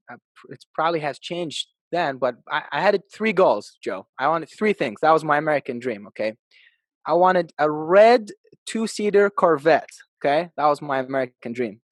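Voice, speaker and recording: A man in his thirties, originally from Iraq, talks through his life story as a podcast guest. He sounds upbeat and lively, and his voice sits a little higher than most men's. The sound is dry and close, with no room echo. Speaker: podcast guest, real estate agent. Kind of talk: casual interview storytelling.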